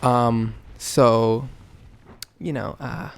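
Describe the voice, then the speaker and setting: A young man from Canada with a gravelly, just-woken-up rasp, wandering through answers with restarts and deadpan jokes. His pitch sits in the middle, and a faint hiss of room ambience runs under the voice. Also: very slow. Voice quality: raspy, just woke up. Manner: rambling, self-correcting, joking. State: just woke up. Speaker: YouTuber. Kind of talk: casual Q and A vlog.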